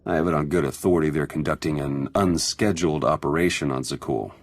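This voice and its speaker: A man's voice: extremely low pitch, fast talker, dry recording. An actor playing a sly male spy with a dry, wry, confiding manner, in a video game.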